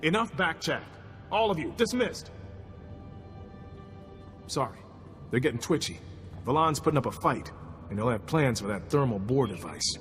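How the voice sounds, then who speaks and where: An actor playing a gruff, weary and practical military commander in a video game, brisk and blunt. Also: male voice, mid-range voice, sing-song, a bit bright, long reverb tail, soft background music. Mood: curt. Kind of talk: video game cutscene acting.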